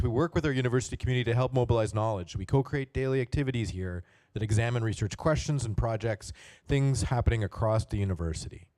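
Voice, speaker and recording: A man hosting a university panel event, giving a measured, formal welcome. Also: mid-range voice, darkish tone. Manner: measured, announcing. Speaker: event host.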